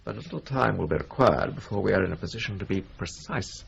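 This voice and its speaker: A senior male solicitor who answers in measured, formal sentences. His voice is gravelly and fairly low. The recording is dull, like old broadcast sound. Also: lively intonation, full character voice. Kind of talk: radio drama acting.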